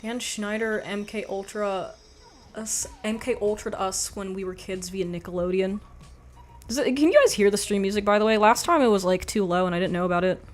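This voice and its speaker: A young woman with a quiet voice hosting a livestream, reading chat and chatting frankly in a rambling way, with lots of "like". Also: medium pitch, fairly quick, slight background noise. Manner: rambling, frank, laughs often, says "like" a lot. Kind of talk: casual chat.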